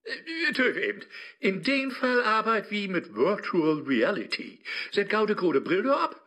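An actor playing a grumpy, sly devil living in a phone app, speaking rhymed radio play lines with a Low German accent and theatrical sarcasm. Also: male voice, big pitch swings, measured pace, sharp tone, full character voice, low-quality recording. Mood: sly, servile. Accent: Low German, northern Germany. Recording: music behind the voice.

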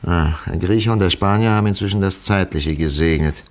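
An actor playing a brisk, commanding police commissioner in a 1950s German radio crime drama, thinking aloud and giving short orders. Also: male voice, low voice. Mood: pondering. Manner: deliberating aloud, then snapping out short orders. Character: brisk commanding male police commissioner leading a murder case.

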